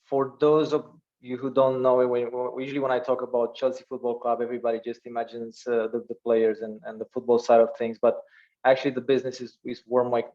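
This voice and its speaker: A man's voice: mid-range voice, level tone, fairly quick, a little mellow, muffled recording. A man introducing himself on an online panel, hesitant with many fillers and restarts. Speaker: panelist, security lead. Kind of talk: self-introduction on a video call panel.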